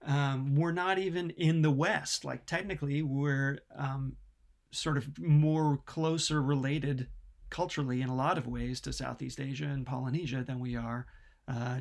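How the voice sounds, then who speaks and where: Man, American accent, lecturer, casual and halting, lots of filler words. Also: mid-range voice. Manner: casual, many fillers and restarts. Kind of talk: recorded online lecture. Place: New Zealand.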